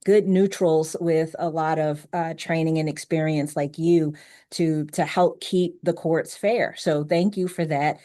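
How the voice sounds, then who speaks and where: A woman's voice: low-pitched, fairly even intonation, measured pace, warm tone. A woman hosting an interview show, an attorney, speaking in an upbeat, enthusiastic way. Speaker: host, attorney.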